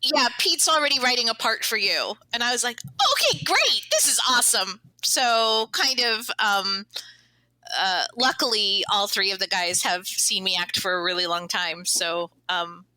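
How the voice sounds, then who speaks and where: Woman, piercingly bright voice, dry sound, casual cast interview, self-deprecating humor. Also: mid-range voice, expressive, unhurried, no room sound, low-quality recording. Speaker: cast member.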